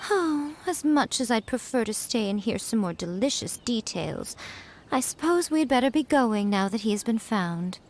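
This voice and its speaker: An actress playing a sly, refined shrine priestess in a video game, coy and measured. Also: female voice, on the high side, expressive, a little hiss or hum, close to an everyday voice. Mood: amused, teasing. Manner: coy, measured, mock sympathy. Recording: music behind the voice.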